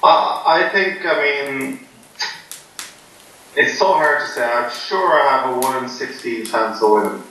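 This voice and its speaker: A man being interviewed before a poker game, joking in a deadpan, rambling way with many ums. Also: mid-range voice, unhurried. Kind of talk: joking casual chat. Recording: echoey room, voice from far off, faint noise.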